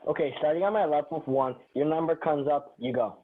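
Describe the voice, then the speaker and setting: A man's voice: a little high, fairly quick, natural voice. A man reading a sarcastic, self-assured sitcom character in a table read, over a phone-like connection. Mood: dry. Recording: tinny, phone-like call audio.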